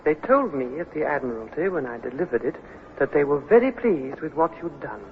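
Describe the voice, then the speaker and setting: A woman acting a worldly, warm-hearted actress in an old radio drama, theatrical, coaxing and confiding. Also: low voice, sing-song, a little fast. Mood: warm, playful. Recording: dull old radio recording, clear background noise.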